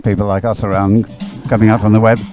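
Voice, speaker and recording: A man giving live race commentary, explaining things in a halting way. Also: lowish voice, level tone, a little mellow, faint music behind. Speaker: sports commentator.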